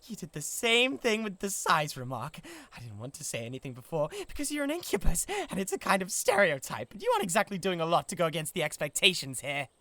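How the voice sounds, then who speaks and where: A man's voice: a little high, wildly swooping pitch, fast talker, hardly any pauses, sharp tone, full character voice. A British man playing a huge, lovesick, possessive giant in a comedic ASMR roleplay, theatrical and easily exasperated. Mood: indignant, exasperated.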